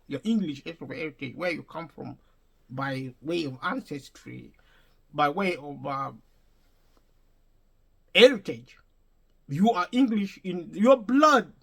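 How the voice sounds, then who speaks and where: A man's voice: a little high, lively intonation, takes it slowly, creaky, long pauses, a bit bright. A man vlogging an unscripted opinion monologue to his viewers, emphatic and prone to restating and rephrasing his points. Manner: emphatic, addresses viewers directly.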